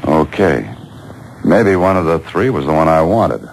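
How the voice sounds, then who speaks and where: A man's voice: a bass voice, big pitch swings, measured pace, creaky, heavily acted. An actor playing a wry, hard-boiled man on the run who narrates his own story in a 1940s radio drama, with a dry wisecracking manner. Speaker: lead and narrator. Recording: noisy old radio broadcast.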